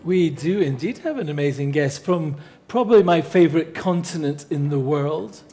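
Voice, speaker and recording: A man's voice: a little high, expressive, moderate pace, mellow, faint music behind. A man co-hosting an interview, asking questions in a jovial, casual way. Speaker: podcast co-host.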